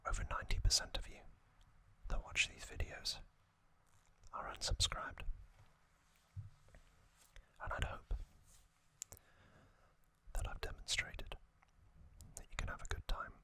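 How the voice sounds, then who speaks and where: A man narrating in a breathy ASMR whisper, gently and in short phrases with long pauses. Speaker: ASMR video host.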